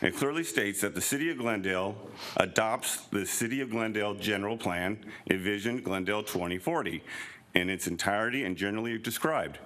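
A man's voice: fairly low pitch, fairly quick, a bit bright. A middle-aged man at a council podium mic, speaking as a resident in a frustrated, emphatic way. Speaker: resident and planning commissioner. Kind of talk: public comment. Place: city council chamber at a podium. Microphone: podium gooseneck mic.

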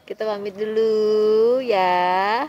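A woman's voice: mid-range voice, steady tone, extremely slow, dull audio. A young woman hosting a travel vlog, chatting casually and playfully outdoors. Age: young adult. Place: outdoor terrace of a hillside cafe. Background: music.

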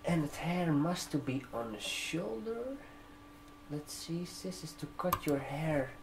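A young man speaks very slowly in a soft ASMR customer-service roleplay. His English has a non-native accent, and his halting phrases rise and dip freely in pitch as he gently checks in with the listener. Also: expressive, noisy background. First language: not English. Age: 20s. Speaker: ASMR performer playing a barber shop receptionist. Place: indoor room, seated at a desk with a laptop. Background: computer keyboard typing.

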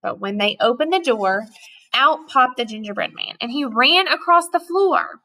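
Woman reading a storybook, fairly high voice. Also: lively intonation, moderate pace, pushed and bright, poor audio. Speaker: storybook reader. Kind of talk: read-aloud storytelling for young children. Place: small indoor room with cupboards.

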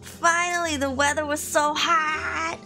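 A woman's voice: high-pitched, little pitch movement, measured pace, sharp tone, soft background music, rough recording. Bubbly, giggly woman streamer. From Malaysia. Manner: bubbly, giggly, chatty with viewers. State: sniffly, tired voice. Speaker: VTuber streamer. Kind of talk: casual livestream chat. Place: home room.

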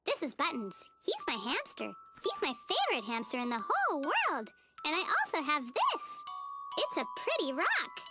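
A woman voicing a naive, sweet, relentlessly cheerful little schoolgirl in a TV cartoon, with a childlike squeaky voice and a gushing, singsong, oblivious manner. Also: huge pitch swings, normal speed. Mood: chatty, sweet. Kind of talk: animated TV cartoon acting. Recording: music playing behind the voice.

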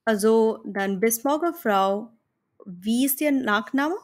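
Formal friendly travel agent lady, indian-accented woman voicing her, businesslike, unhurried. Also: on the high side, expressive. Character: female travel agency manager, businesslike, friendly. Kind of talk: scripted dialogue read for learners.

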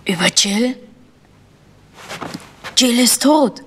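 A woman playing a frightened, secretive village boy in a German radio crime drama, hushed and hesitant. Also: a little high, lively intonation, very slow, big-room sound, slight background noise. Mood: evasive.

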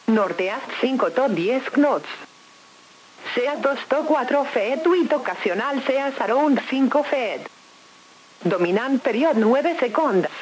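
A synthetic female announcer reading an automated marine forecast over the radio in a measured, impersonal way. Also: high-pitched, poor audio. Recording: hissy weather radio reception.

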